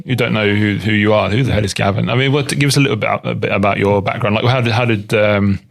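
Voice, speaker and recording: A male podcast host with a Norwegian accent speaks in a fairly low voice. He asks questions in a loose, rambling way, restarting often. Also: steady tone, quick pace, creaky. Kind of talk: casual podcast interview.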